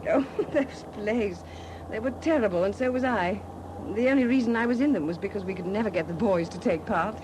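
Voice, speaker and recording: Woman acting world-weary female suspect, widow, mid-pitched, guarded, defensive, emotionally numb, 1970s radio drama, faint background noise. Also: fairly quick, close to an everyday voice. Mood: evasive. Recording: dated, faintly noisy 1970s radio recording.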